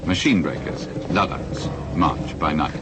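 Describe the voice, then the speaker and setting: A man's voice: rumbling low, a little slow, over loud music. A man playing a grave narrator in a historical radio play. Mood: grave, ominous.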